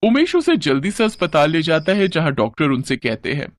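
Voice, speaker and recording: A man narrates a children's cartoon story from a script. His pitch sits fairly high and moves in lively swings, with a close, dry studio sound. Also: fairly quick, no room sound.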